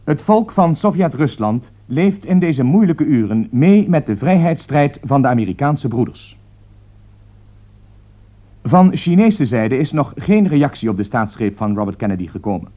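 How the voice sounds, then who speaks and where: An actor playing a grave radio newsreader giving an emergency bulletin in formal, measured Netherlands Dutch. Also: male voice, mid-range voice, big pitch swings, mellow. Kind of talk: 1960s radio drama news bulletin. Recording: dull vintage 1960s radio recording.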